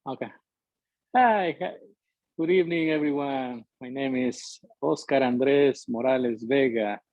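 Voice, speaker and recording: A middle-aged man from Ecuador speaks English with an Ecuadorian Spanish accent, his first language being Spanish. He talks slowly and hesitantly, often pausing with fillers while he hunts for words. The sound is dry and muffled, as over a video call. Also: mid-range voice, a little mellow, no echo. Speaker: interview guest, musician. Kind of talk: conversational interview, halting English.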